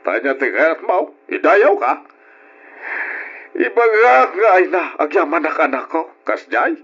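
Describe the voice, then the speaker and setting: An actor with a northern Philippine accent playing a gruff, sarcastic middle-aged father in a radio drama. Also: on the high side, big pitch swings, measured pace, a bit bright, heavily acted. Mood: scornful, scolding. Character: stern, scornful middle-aged father belittling his son. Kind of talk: Ilocano radio drama acting. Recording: dull lo-fi old radio.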